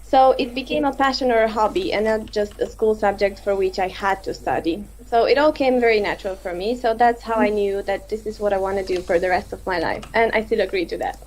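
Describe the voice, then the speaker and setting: A woman's voice: medium pitch, brisk, hardly any pauses, a little hiss or hum, low-quality recording. A woman, a PhD student, speaking fluently on a video-call panel. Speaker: PhD student and tech lead. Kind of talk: panel self-introduction and story.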